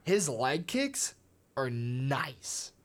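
A woman's voice: extremely low pitch, big pitch swings, extremely slow. A woman from the United States co-hosting a sports podcast, chatty and playfully teasing her co-host. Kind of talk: casual sports podcast chat.

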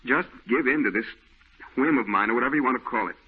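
A man's voice: medium pitch, quick pace, slightly rough, natural voice. A man playing a troubled, secretive painter who speaks tersely and guardedly, in 1940s radio acting. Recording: tinny old radio broadcast.

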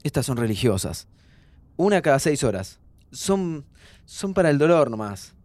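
An actor playing a calm, upbeat young Argentine man who is terminally ill and reassures his friend in casual, slangy speech, in an audio drama. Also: medium pitch, moderate pace, slight background noise, natural voice. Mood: reassuring, subdued. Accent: Argentine Rioplatense. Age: young adult. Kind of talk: naturalistic audio fiction acting.

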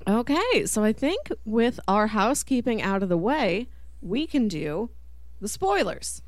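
A woman hosting a podcast, chatting casually about film in an animated, expressive way. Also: on the high side, measured pace, a little hiss or hum. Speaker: podcast host.

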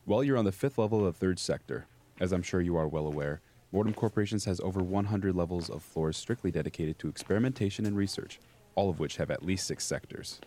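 Man playing a deep-voiced, imperious senior scientist. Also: dark tone, natural voice. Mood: formal, composed. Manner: formal, measured, dismissive. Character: calm, imperious senior male scientist running secret labs. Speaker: doctor, lab director. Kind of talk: audio drama acting.

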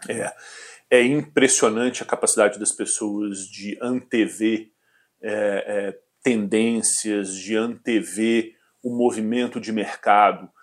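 Low-voiced man hesitating through an interview. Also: steady tone. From southern Brazil. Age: 40s. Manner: measured, frequent hesitations. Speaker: finance executive interviewee. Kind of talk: business interview.

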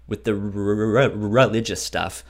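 A man's voice: on the low side, steady tone, moderate pace. Stuttering man in casual discussion. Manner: frequent stuttering, repeating sounds and syllables. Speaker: podcast co-host.

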